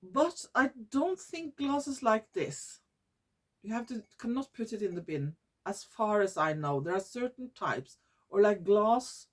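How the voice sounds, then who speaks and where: A woman from Norway with a Norwegian accent, hosting her own vlog in English, which is not her first language, often pausing to search for words and restarting her sentences. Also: mid-range voice. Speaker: YouTube channel host. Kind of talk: casual vlog monologue.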